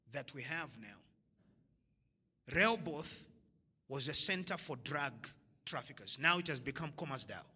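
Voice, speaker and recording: Bright-voiced Zambian man preaching in a hall. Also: a little high, breathy edge. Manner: emphatic, repeats key phrases, laughs at own jokes. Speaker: motivational speaker. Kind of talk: motivational talk to an audience. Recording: long hall reverb.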